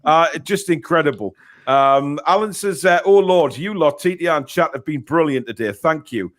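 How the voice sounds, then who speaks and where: A Geordie man hosts a casual live football watchalong from his desk mic. His voice sits high, and he jumps between shouting at the match and laughing as he reads viewer comments aloud. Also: normal speed. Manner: excitable, laughs often, reads chat aloud. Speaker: host.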